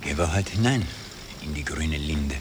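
An actor playing a weary impostor husband with a Viennese accent in a radio play from around 1960, terse and ironic. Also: male voice, very deep voice, lively intonation, moderate pace. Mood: wry, reminiscent. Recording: noisy old radio drama cassette transfer.

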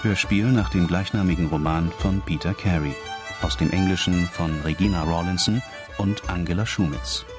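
A man's voice: sits low, normal speed, lightly acted. A man announcing radio drama credits in a formal, sober manner. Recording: announcement over music.

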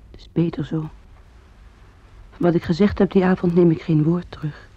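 An actress in a Dutch radio play playing a resigned, sorrowful young woman, hushed and subdued, as in a farewell letter. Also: lowish voice, fairly even intonation, normal speed, husky, no echo, dull audio. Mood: sad, resolute.

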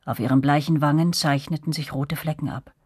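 An actress playing a reflective female narrator who recalls her girlhood, telling a radio drama in measured, serious literary first person. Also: low-pitched, fairly even intonation, a little mellow, breathy edge, dry recording, studio quality. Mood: sombre, observant.

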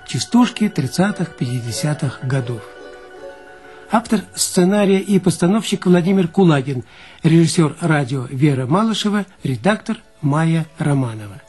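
A male radio announcer delivers scripted credits in a formal, measured way. His voice is warm and relaxed, a little higher than average for a man, with light music underneath.

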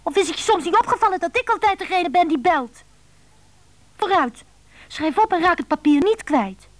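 A woman's voice: very high voice, lively intonation, normal speed, no echo. An actress playing a lovelorn, needy woman with a pleading, emotional manner in a radio drama. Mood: reproachful.

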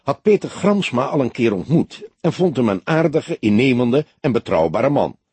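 A man voicing a woman civil servant under questioning in an audiobook, soft, careful and halting, defending herself. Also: medium pitch, sing-song, husky, no echo. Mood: cautious. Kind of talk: audiobook character dialogue.

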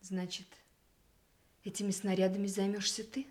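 A woman's voice: medium pitch, level tone, moderate pace, darkish tone, natural voice. An actress in a radio play, playing a proud, ironic woman who teases her old love. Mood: wry, stung. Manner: ironic, teasing, literate.

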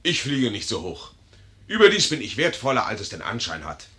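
An actor in a 1950s radio play as a boastful, dim-witted young cavalry lieutenant with a pompous manner. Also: male voice, on the low side, wildly swooping pitch, fairly quick, fairly bright tone. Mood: smugly boastful. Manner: pompous, self-important.

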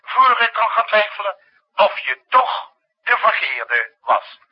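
An actor playing a genial but authoritative president, speaking in a formal, measured way in a 1960s radio drama. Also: male voice, high voice, very animated, slight background noise, heavily acted. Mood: proud, teasing. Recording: dull, muffled 1960s radio recording.

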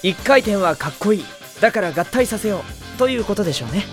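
A man playing an easygoing, kindly high school boy in an anime audio drama, with a friendly, reactive manner. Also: sing-song, fast, fairly bright tone, soft background music.

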